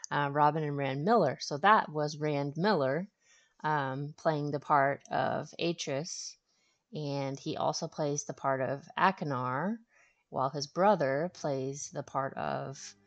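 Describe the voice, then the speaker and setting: Casting: female walkthrough host, low register, casual commentary, hesitant delivery. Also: dull audio.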